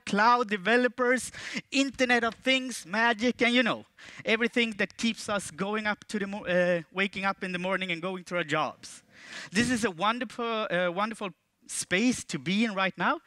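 A man in his thirties with a Swedish accent, giving a jokey, animated conference talk on a stage in a large auditorium. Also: dry recording. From Sweden. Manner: playful, jokey, with animated jumps in pitch and volume. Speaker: conference speaker. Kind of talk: stage presentation.

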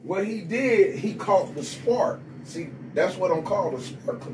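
A middle-aged man bragging and riffing in loud, profane banter inside a small vending room, filmed on a phone. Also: high-pitched, lively intonation, unhurried, recorded from a distance, a little hiss or hum, poor audio. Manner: loud, brash, profane hype talk with laughter. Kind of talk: boastful rambling banter.

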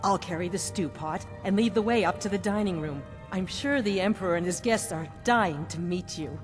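A woman acts a fawning castle chef for a fantasy game. Her voice sits a little low, formal and nervous, with halting, gushing delivery. It rings in a large hall with music underneath. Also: natural voice. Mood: deferential, unsure. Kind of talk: fantasy video game dialogue.

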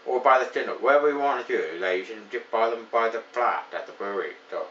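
A man's voice: medium pitch, fast, fairly bright tone, far from the mic, highs cut off, a little hiss or hum. Rambling man reviewing beer, roomy sound. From Ontario, Canada. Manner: rambling, enthusiastic, many fillers. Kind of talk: casual beer review to camera. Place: small home room with shelves of beer.

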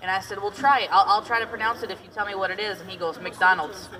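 A woman's voice: mid-range voice, fast talker, heavy background noise. A talkative woman from Detroit who later moved to Tennessee, guiding a tour and chatting casually with jokes and stories. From Detroit, Michigan; raised partly in Tennessee. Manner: joking, giggly, talkative. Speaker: cave boat tour guide. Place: boat on an underground lake in a cave. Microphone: passenger's camera at some distance. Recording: distant mic, people chattering around.

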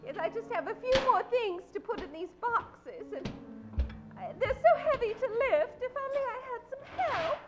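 A woman's voice: very high voice, lively intonation, normal speed, music in the background. A middle-aged woman playing a fretful, sweetly fussy dressmaker in old-time radio comedy, hesitant and quavering. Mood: tearful. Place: theatre stage. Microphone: vintage-style stand microphone.

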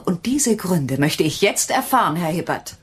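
An actress playing an anxious but determined wife in a German radio crime drama, speaking in a tense, insistent way. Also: female voice, on the low side, sing-song, normal speed, husky, heavily acted.

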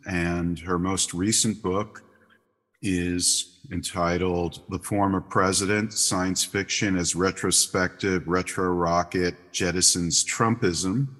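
A deep-voiced man hosts a podcast, asking his questions slowly and carefully with many hesitant uhs. His low voice carries a long reverb, as if in a hall. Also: steady tone, a bit bright. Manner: measured, hesitant with frequent uhs. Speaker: podcast host and interviewer. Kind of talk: interview questions.

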